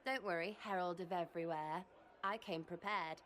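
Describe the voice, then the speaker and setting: A woman's voice: lowish voice, moderate pace, fairly bright tone, natural voice. An actress playing a quirky, irreverent young woman in a video game, speaking in cheeky, clipped fragments. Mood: cheeky, restless. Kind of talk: video game cutscene dialogue.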